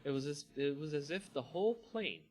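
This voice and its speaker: Male host and story reader, animated range, dramatic narration, faint music underneath. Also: fairly high pitch, fairly quick. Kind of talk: dramatic scripted narration with casual asides.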